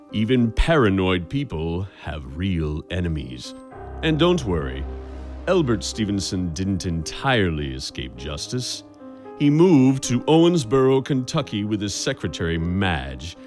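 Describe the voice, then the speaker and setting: Suspense radio host: low, deliberate, ominous and wry, with music behind. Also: male voice, darkish tone, exaggerated voice. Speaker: narrator and announcer. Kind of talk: theatrical old-time radio narration.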